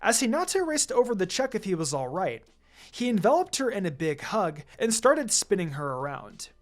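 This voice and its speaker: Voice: medium pitch, big pitch swings, normal speed. A narrator reading a scripted fan fiction story aloud. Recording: music behind the voice.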